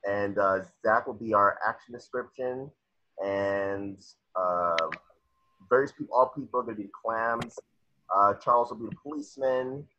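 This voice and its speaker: A man's voice: on the low side, unhurried, pushed and bright, lo-fi sound. A man casually hosting a table reading, chatting and announcing to the group.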